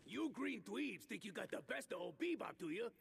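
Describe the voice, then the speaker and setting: A man's voice: high voice, big pitch swings, moderate pace, vocal fry, noisy background, heavily acted. An actor voicing a brash, dim male mutant warthog thug who brags in street slang and talks about himself by name. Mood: boastful. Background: game music.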